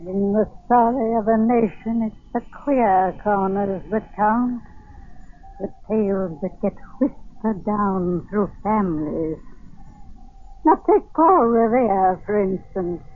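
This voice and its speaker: An actress playing a crusty, scornful old great aunt who spins mysterious tales in a 1940s radio drama. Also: female voice, medium pitch, slow pace. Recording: tinny, narrow 1940s radio recording.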